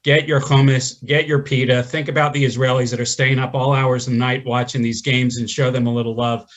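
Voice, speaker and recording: A man hosting a webinar, giving upbeat, folksy closing thanks with many halting fillers over a video call. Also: mid-range voice, flat delivery. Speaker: event host.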